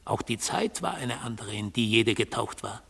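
Husky, gravelly fanatical god. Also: male voice, on the low side, expressive, moderate pace, full character voice. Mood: measured self-justification. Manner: solemn, insistent, declamatory, lapsing into hushed intensity. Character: mystical Good God on trial, fanatical defender of order. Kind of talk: German radio drama acting. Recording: long hall-like reverb.

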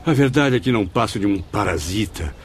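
A man's voice: fairly low pitch, expressive, fairly quick, a little hiss or hum, lightly acted. An actor playing a melancholy, guilt-ridden male spirit in a radio drama, with solemn, literary Brazilian diction. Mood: self-reproachful.

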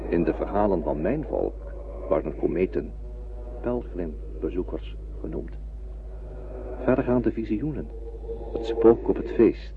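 Solemn alien spaceman: low voice, unhurried poetic musing, radio drama, muffled noisy recording. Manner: slow, musing, storytelling. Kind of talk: radio play acting.

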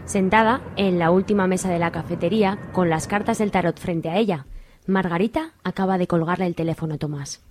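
A woman's voice: lowish voice, brisk, darkish tone, slight background noise. A woman from giving scripted narration for a radio soap.

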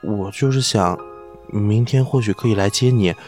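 A man's voice: fairly low pitch, moderate pace, a little mellow, soft background music, close to an everyday voice. A man playing a devoted, shy young idol who speaks earnestly and hesitantly in an audio drama.

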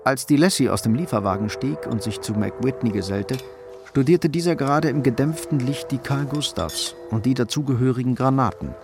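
A man's voice: medium pitch, moderate pace. A man narrating a crime radio play in a scripted, literary style. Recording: music under the voice. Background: music.

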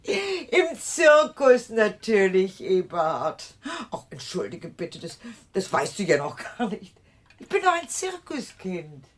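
An actress playing a chatty, eccentric older aunt in a German radio play, gushing, theatrical and breezily insistent. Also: female voice, medium pitch, sing-song, slow. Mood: matter-of-fact, nostalgic.

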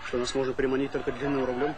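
An actor playing a brash, idealistic young engineering graduate, speaking with irony and challenge in a stage comedy. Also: male voice, mid-range voice, level tone, rapid-fire, background noise, close to an everyday voice. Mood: challenging, earnest. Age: young adult. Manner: ironic, challenging. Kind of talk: Soviet stage comedy acting.

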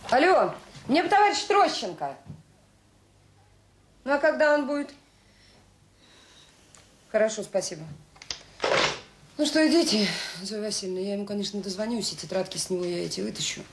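An actress playing a warm, determined director of a children's home in a radio drama, brisk and plain-spoken with hesitant pauses. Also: female voice, medium pitch, lively intonation, lightly acted. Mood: brisk, businesslike. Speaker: orphanage director. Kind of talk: naturalistic radio drama acting. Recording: noisy old radio play recording.

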